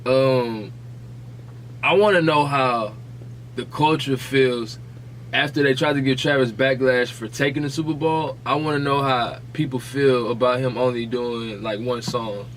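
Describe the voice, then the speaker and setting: Podcast co-host: American male, average pitch, loose slangy talk that reasons things out, faintly noisy recording. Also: fairly even intonation, a little slow, fairly bright tone. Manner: conversational, slangy, reasoning aloud. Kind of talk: casual slangy podcast chat.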